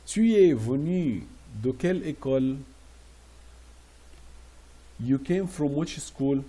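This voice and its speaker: A man teaching French classroom phrases, repeating each slowly and translating it into English. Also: mid-range voice, lively intonation, dark tone, breathy edge. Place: Riyadh.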